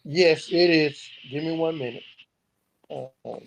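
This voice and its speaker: A man with a notably high voice talks as the guest on an interview podcast. His delivery is conversational. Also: takes it slowly, creaky, slight background noise, low-quality recording. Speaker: podcast guest, founder of a youth mentoring organization.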